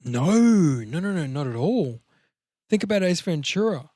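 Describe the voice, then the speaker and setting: A man chatting casually as a podcast co-host and actor, riffing in an animated, sweary way. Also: on the high side, a little slow, dry recording, clean studio sound.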